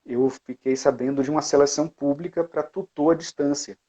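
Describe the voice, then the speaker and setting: A man's voice: on the high side, fairly even intonation, fairly quick, mellow, husky. A man from Bahia, talking as an interview guest about his path as a music educator, reflective and full of fillers and restarts. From Brazil, Bahia. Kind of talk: casual interview talk.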